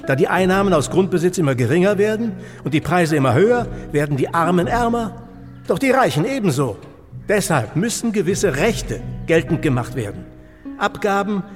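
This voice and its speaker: A man's voice: high voice, expressive, fairly quick, soft background music. A male actor in a radio play as a stern, exasperated provincial lawyer, speaking formally and emphatically. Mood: confident, explaining. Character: exasperated provincial French lawyer, liberal-minded, stern disappointed father.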